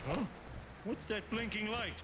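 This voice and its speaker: A man voicing a dim, whiny, impulsive middle-aged cartoon husband with a dopey, thick voice, muttering to himself and exclaiming over loud music. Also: high voice, unhurried, very noisy. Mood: weary, hopeful. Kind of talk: animated cartoon acting.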